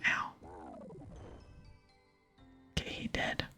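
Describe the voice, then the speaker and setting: A woman whispering softly and deliberately as she hosts an ASMR gaming read-along, breathy and close, with no full voice. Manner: soft, close, deliberate reading. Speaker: ASMR gaming host reading quest dialogue. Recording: music under close whisper.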